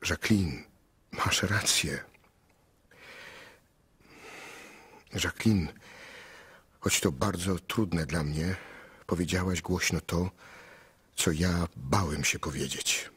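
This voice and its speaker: A man's voice: low voice, takes it slowly, long pauses, a bit bright, slightly rough, full character voice. An actor playing an earnest, troubled male storyteller in a radio drama, speaking intimately and pleadingly.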